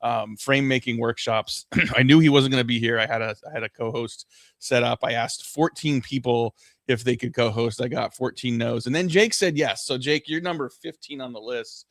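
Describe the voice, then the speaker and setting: A middle-aged man hosting a casual livestream chat, loud and booming, joking and self-deprecating, with many restarts. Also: normal speed, no echo. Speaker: podcast host. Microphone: not using the desk mic.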